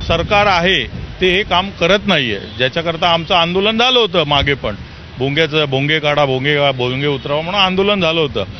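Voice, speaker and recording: A man's voice: fairly high pitch, expressive, a little fast, pushed and bright, faint music behind. A man with a Marathi accent speaking emphatically and repetitively as a party politician to the press. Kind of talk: press soundbite. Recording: dull location sound, faint noise.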